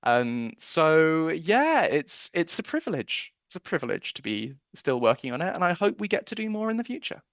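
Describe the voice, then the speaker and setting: A man's voice: fairly high pitch, big pitch swings, moderate pace, hardly any pauses, a bit bright, no echo. Earnest man in a dry, muffled interview. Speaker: interview guest, audio drama producer. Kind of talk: conversational radio interview.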